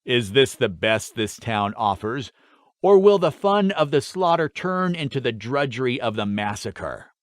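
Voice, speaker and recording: A man's voice: medium pitch, big pitch swings, a little slow, clear, resonant tone, dry recording, clean studio sound. A man voicing a cruel, commanding warlord. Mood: mocking. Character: cruel gloating warlord swordsman, commanding, enjoys slaughter.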